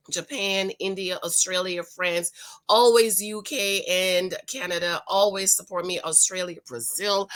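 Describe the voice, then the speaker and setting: A hoarse woman with a raspy voice, giving preachy, emphatic relationship commentary straight to her viewers. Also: medium pitch, pushed and bright. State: hoarse, voice still recovering. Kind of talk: casual talk to camera.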